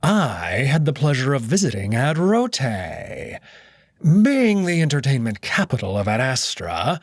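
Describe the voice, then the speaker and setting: Guy putting on a blind wolf noble, slow and smug, mock-polite, a bit husky and dry. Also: medium pitch, dry recording, exaggerated voice. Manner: slow, drawn-out, mockingly polite.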